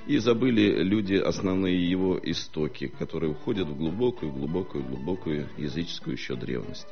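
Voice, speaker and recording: A man with a low voice holds forth like a historian on a talk show. He talks in a measured, lecturing way, often pausing to hesitate. The recording sounds muffled and lo-fi, with faint music underneath. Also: vocal fry. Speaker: historian guest. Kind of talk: studio talk-show discussion.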